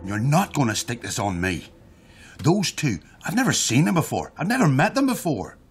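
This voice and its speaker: An actor playing an agitated suspect protesting his innocence in a radio drama, with a husky, rough voice. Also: mid-range voice, very animated, normal speed, faint music behind. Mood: defensive, indignant. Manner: defensive, agitated. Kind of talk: naturalistic radio drama acting.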